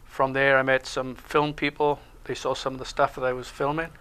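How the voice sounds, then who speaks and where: A Welsh man chatting casually in a local TV interview, talking about himself with lots of pauses and fillers. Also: medium pitch, clear, resonant tone. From Wales. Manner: relaxed, hesitant with many uh fillers. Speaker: show co-host and security instructor.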